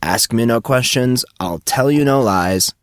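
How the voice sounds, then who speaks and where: A man's voice: medium pitch, animated, measured pace, no echo, clean studio sound, lightly acted. A man voicing a brash, movie-mad teenage schoolboy in an audiobook, casual and teasing, with slangy talk. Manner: slangy, says "bro" often.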